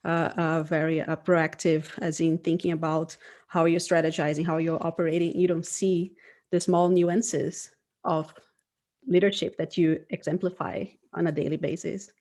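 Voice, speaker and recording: Female design manager, lowish voice, thoughtful and conversational, checks in with "right?". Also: little pitch movement, normal speed, darkish tone. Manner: thoughtful, frequent "right?" tags. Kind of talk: casual conversation.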